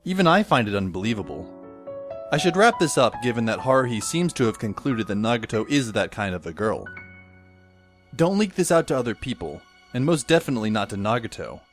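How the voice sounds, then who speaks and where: A man's voice: mid-range voice, big pitch swings, brisk, soft background music, close to an everyday voice. A man playing a wry, skeptical teenage schoolboy who narrates his own story in an audio drama, with a sardonic manner.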